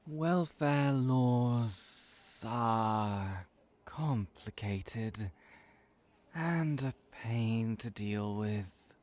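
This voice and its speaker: Man voicing a very slow, hesitant snake hybrid. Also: medium pitch, expressive, darkish tone. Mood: measured. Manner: halting, hesitant, drawn-out, hissing s sounds. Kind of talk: audio roleplay acting.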